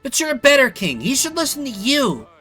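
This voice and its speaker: An eager young royal child, put on by a man in a story game. The voice is high and bright, full of flattering keenness. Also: a little fast, soft background music, full character voice. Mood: earnest.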